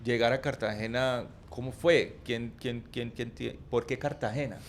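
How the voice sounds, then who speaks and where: A man's voice: medium pitch, steady tone, measured pace, a little hiss or hum. A man hosting a podcast interview, asking friendly, curious follow-up questions. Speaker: host. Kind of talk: interview questions in casual chat.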